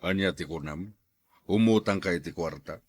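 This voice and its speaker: A man's voice: low voice, moderate pace, slightly rough, no echo. An actor playing a rich, stingy older village man with a mocking, sneering manner in a radio drama. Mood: mocking, dismissive. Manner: scornful, sneering, incredulous.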